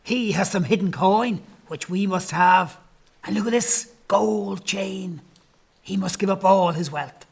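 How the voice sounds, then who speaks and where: A woman plays a menacing, greedy robber in an audio drama. The voice is husky and full of creak. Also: mid-range voice, measured pace. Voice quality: gravelly, husky. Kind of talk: audio play acting.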